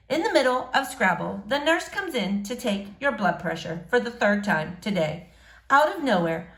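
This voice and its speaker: A woman reading a novel aloud to young listeners in an expressive storybook style, pausing dramatically on key words. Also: medium pitch. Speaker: read-aloud presenter.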